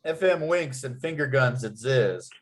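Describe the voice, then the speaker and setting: A man's voice: mid-range voice, animated, unhurried. A man narrating at a script read, reading out stage directions and scene headings.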